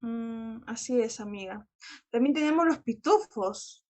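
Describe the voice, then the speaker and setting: Fairly high-voiced woman in casual chat. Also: lively intonation, a little slow, dark tone. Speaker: co-host.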